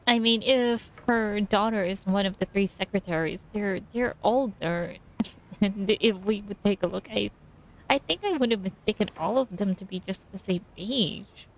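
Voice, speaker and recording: A woman's voice: mid-range voice, measured pace, rough recording. A woman giving casual, musing reaction commentary as she streams a video game.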